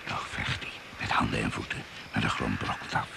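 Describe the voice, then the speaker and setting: An extremely deep-voiced man narrates an old radio play. His delivery is gravelly, hushed and full of suspense, over a noisy recording with creature sounds behind. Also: little pitch movement, brisk.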